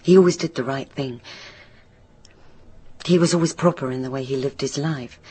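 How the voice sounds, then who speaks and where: A woman's voice: a bass voice, moderate pace, dark tone, breathy edge. A British woman playing a troubled, hesitant daughter of a railway signalman in a naturalistic radio drama. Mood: reflective. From Britain. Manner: hesitant, self-correcting, reflective. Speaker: actor in a radio play.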